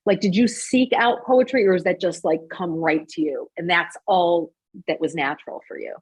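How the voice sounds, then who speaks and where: A woman's voice: fairly low pitch, normal speed, breathy edge. A woman hosting a casual, chatty interview. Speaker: interview show host.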